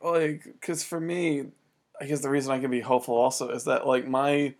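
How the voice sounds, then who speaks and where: A man's voice: mid-range voice, little pitch movement, moderate pace. A man in his thirties co-hosting a podcast, talking in an easygoing, laid-back way with joking asides. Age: 30s. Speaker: podcast co-host. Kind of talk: casual podcast chat.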